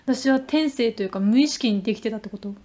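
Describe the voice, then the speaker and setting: A woman's voice: fairly high pitch, fast talker, mellow. A young adult woman chatting casually and playfully as a radio co-host. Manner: playful, joking. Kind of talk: casual chat.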